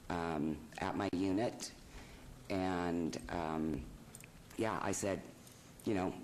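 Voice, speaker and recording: A man with a low, quiet voice speaking hesitantly with many ums as he appeals a fine at a city council hearing. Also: a little hiss or hum, low-quality recording. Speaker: property owner appealing a fine. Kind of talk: public testimony.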